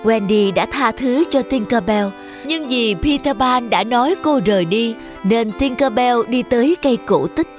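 Female children's fairy tale narrator, fairly high pitch, soft music underneath. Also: moderate pace. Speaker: storyteller.

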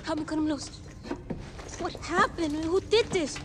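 A woman's voice: very high voice, unhurried. A woman playing a fiery, distrustful young street racer in a video game, blunt and streetwise in the way she talks. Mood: distressed. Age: young adult. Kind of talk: video game cutscene acting. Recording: loud music over the voice.

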